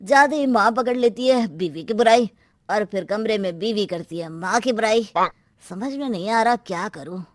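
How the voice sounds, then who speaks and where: A woman's voice: medium pitch, lively intonation, moderate pace, no room sound, clean studio sound, close to an everyday voice. A woman voicing an exasperated young married man in a family moral story, grumbling wearily. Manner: weary grumbling. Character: young married man, exasperated, torn between mother and wife.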